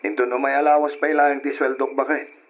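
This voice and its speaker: A man's voice: mid-range voice, level tone, a little fast, lightly acted. An actor playing a weary, devoted working husband and father in a radio drama, earnest and plaintive. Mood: questioning, uneasy. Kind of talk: old-style radio drama acting. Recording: muffled, hissy old radio drama recording.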